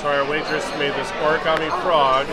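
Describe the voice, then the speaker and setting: A man's voice: fairly high pitch, level tone, a little fast. Upbeat man over crowded dining chatter. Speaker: vlog co-host. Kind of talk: casual vlog chat. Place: restaurant, then cruise ship cabin. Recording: noisy crowded dining room.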